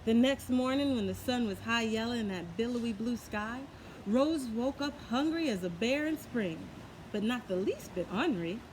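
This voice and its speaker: A woman's voice: a little high, moderate pace, a little hiss or hum, low-quality recording. Woman reading a children's tall tale aloud. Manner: expressive reading with folksy asides. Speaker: storyteller. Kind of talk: children's story read-aloud.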